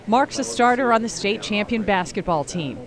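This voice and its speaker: A woman's voice: medium pitch, expressive, normal speed, a crowd in the background. Female news reporter, mid-range voice, scripted TV package narration.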